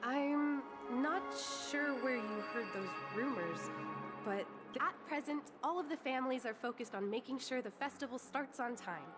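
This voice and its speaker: A woman's voice: sits high, moderate pace, close to an everyday voice. A woman voicing a composed head of hotel security in a game, formal and careful. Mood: guarded. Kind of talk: video game voice acting. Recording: game dialogue under loud music.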